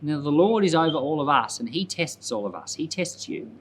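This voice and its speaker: A man's voice: on the high side, very animated, fairly quick, a little hiss or hum. A man speaking as a pastor in conversational Bible commentary, explaining things and often repeating and rephrasing points for emphasis. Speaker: pastor and Bible podcast host.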